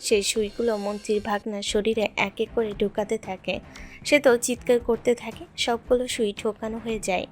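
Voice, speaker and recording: A woman's voice: a little high, steady tone, a little fast. A woman reading scripted narration for an audio story. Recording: background music throughout.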